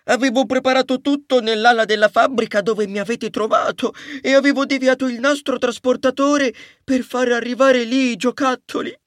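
A storybook Christmas elf, male and very high in pitch. He is earnest and contrite, with an excitable, exclamatory way of talking. The recording is dry. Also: normal speed, few pauses, no room sound. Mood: contrite, explaining.

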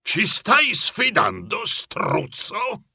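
Brief: cranky, proud elderly mouse grandfather, storybook style; very creaky, piercingly bright, slow indignant bluster. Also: high voice, huge pitch swings, no room sound, studio quality, voice pushed up, full character voice. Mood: defiant. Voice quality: gravelly. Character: grumpy, proud old grandfather mouse, easily outraged.

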